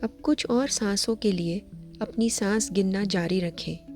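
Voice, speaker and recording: Woman guiding meditation over soft music. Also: mid-range voice, measured pace. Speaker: meditation guide. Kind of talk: scripted guided meditation. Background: background music.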